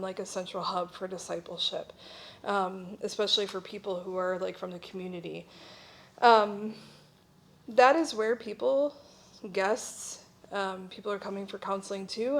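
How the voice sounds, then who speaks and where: Conversational woman presenting at a church session. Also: medium pitch. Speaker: missionary speaker at a church breakout session. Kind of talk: informal conference presentation.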